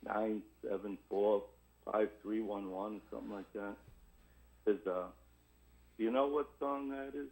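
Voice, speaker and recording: A man chatting casually as a radio caller, hoarse and froggy, hesitant and rambling. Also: on the low side, fairly even intonation, a little slow, pauses often, darkish tone, low-quality recording. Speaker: radio call-in listener. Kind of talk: casual call-in chat.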